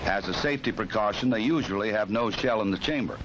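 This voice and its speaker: An American man giving measured, formal, scripted narration for a 1960s police training film. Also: lowish voice. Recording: noisy 1960s film soundtrack.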